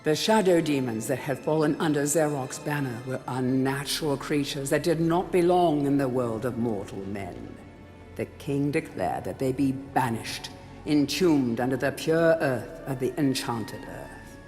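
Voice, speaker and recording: An actor playing a grave chronicler of an old fantasy kingdom, narrating a game's storybook history in a solemn, theatrical way. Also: male voice, mid-range voice, a little slow, a little mellow, lightly acted. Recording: long hall reverb, music underneath. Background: music.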